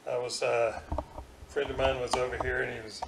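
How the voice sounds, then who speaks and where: A man's voice: medium pitch, flat delivery, brisk, gravelly, a bit bright. Man thinking aloud in an echoey room. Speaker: electronics hobbyist demonstrating a radio repair. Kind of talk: informal hands-on technical demonstration. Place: room in a house. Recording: roomy echo, faint background noise.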